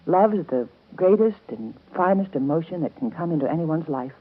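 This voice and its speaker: A woman's voice: deep voice, animated, normal speed, breathy edge. Motherly woman on tinny old radio. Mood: patient, earnest. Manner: gentle, earnest, coaxing, endearments. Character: warm, patient motherly guardian woman of twins. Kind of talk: 1930s radio soap opera acting. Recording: band-limited scratchy 1930s radio broadcast.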